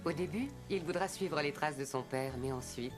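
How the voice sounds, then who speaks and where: An actress playing a gentle, wry hunter's wife in a French cartoon. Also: female voice, low voice, normal speed, over music, natural voice. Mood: resigned. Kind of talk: French animated series dubbing.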